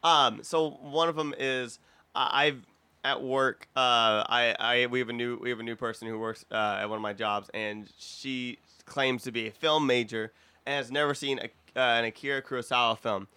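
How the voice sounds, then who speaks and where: A man's voice: medium pitch, fairly even intonation, normal speed, pushed and bright, clear, resonant tone, no room sound. A man co-hosting a podcast in casual group chat, speaking emphatically with opinions and plenty of swearing. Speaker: podcast co-host.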